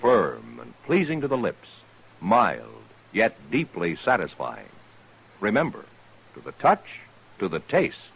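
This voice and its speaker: A man's voice: fairly low pitch, wildly swooping pitch, slow, vocal fry, fairly bright tone, husky, poor audio. An American man working as an announcer, reading a 1950s radio commercial. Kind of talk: 1950s radio cigarette advertisement.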